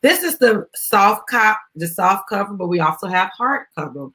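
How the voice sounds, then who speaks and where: American lady chatting on a live video, bright raspy-ish voice, talking right to viewers, informal. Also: mid-range voice, animated, moderate pace. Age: adult, mother of a young child. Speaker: live stream host, business founder. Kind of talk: casual live video chat, promoting a children's book.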